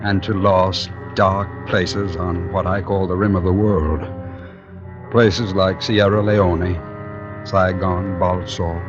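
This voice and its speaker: Low-voiced brooding painter in radio suspense. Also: male voice, little pitch movement. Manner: moody, deliberate, dramatic phrasing. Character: brooding, fatalistic male painter narrating his dark tale. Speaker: narrator and lead actor. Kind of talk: 1940s-50s radio suspense acting, first-person narration. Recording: dull old radio recording, music behind.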